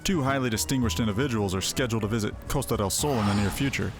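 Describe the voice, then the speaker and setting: A man's voice: fairly low pitch, fast talker, natural voice. A man voicing a stern, sceptical veteran mercenary captain in a fantasy game, speaking in a blunt, formal, archaic way. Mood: commanding. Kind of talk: voiced fantasy game dialogue. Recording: game music behind voice. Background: game music.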